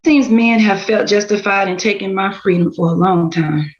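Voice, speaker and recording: A woman's voice: mid-range voice, normal speed, close to an everyday voice. A woman playing a flirtatious, needy, melodramatic mother in a screenplay table read. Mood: soothing, weary. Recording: dull lo-fi sound.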